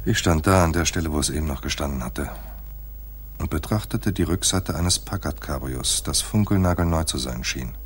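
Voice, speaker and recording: An actor playing a laconic hard-boiled private detective in a noir radio play, with a dry, terse delivery and a husky voice. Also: male voice, normal speed. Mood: dry, detached. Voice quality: husky, very deep. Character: hard-boiled male private detective narrating his own case. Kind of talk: noir radio play, first-person narration.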